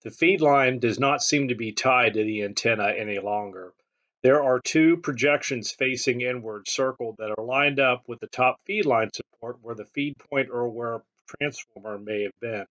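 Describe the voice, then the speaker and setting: A man giving a measured, matter-of-fact technical narration as the host of a hobbyist channel. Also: mid-range voice, fairly bright tone, dull audio. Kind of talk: explanatory scripted narration.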